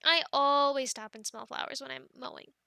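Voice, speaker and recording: A young woman voicing an affectionate, teasing girlfriend in an ASMR roleplay, speaking softly, giggling and dropping into whispers, close to the mic. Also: sits high, moderate pace, vocal fry, no room sound, full character voice. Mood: playful. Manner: soft and giggly, drifting into whispers.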